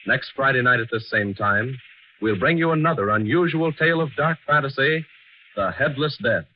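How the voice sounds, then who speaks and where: A man's voice: medium pitch, expressive, normal speed. An American man reading a scripted sign-off in a formal 1940s radio announcing style. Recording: slightly muffled vintage radio broadcast recording.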